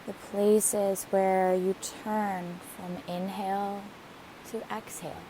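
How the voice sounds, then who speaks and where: A woman leading a guided meditation in a gentle, soothing manner with deliberate phrasing. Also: mid-range voice. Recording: steady hiss behind the voice. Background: hiss, rushing waterfall water.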